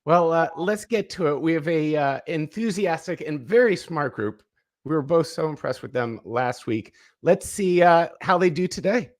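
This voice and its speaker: A friendly man hosts an online lesson and welcomes viewers with upbeat energy. His voice sits a bit high for a man. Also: animated, moderate pace. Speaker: online show host.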